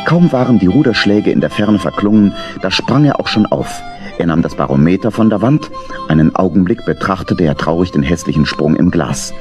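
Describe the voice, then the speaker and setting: A man's voice: low-pitched, lively intonation, a little fast, a little mellow, soft background music. A man narrating a children's audio play as a scripted storyteller.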